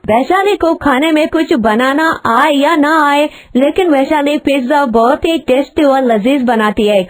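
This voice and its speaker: Female story narrator, high voice, scripted storytelling read. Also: unhurried.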